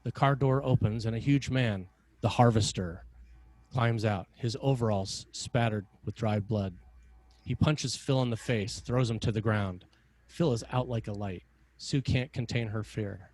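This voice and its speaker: A man reading scripted narration and stage directions as a screenplay narrator, in a measured, dramatic way. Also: fairly low pitch, darkish tone.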